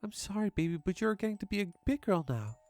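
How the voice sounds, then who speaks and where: A woman playing a gentle, reassuring mother soothing her young daughter in an earnest way, in a horror story reading. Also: mid-range voice, big pitch swings, quick pace, no echo.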